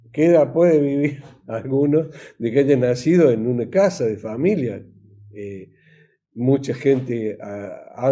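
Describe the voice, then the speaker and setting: A man preaches in Argentine-accented Spanish with a very dark, soft-edged timbre. His pace is unhurried and halting, as he repeats phrases and puts questions to his listeners in an informal pastoral style. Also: mid-range voice. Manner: halting, repetitive, with rhetorical questions. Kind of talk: informal recorded sermon.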